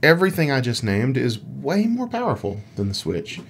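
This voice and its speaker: Playful man with a mid-pitched voice. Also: sing-song, normal speed, vocal fry, husky. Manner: playful, dry teasing. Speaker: podcast co-host. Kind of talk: casual gaming podcast chat.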